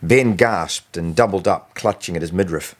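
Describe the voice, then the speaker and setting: A man with a low-pitched voice reads his own story. He delivers it as an expressive audiobook narration, acting as storyteller. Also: normal speed. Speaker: author reading own novel.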